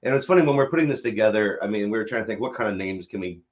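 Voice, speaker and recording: A man's voice: on the low side, expressive, racing, lo-fi sound. A man speaking casually as an interview guest, with loose, informal phrasing and a jokey touch. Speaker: interview guest, theater director. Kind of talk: casual live interview talk.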